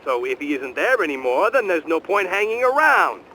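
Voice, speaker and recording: Blustering middle-aged boss: high, hard-edged male voice, brusque, impatient, faint noise behind. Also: very animated, moderate pace, bright, forceful tone, heavily acted, rough recording. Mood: impatient, exasperated. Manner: brusque, exasperated. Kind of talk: video game voice acting.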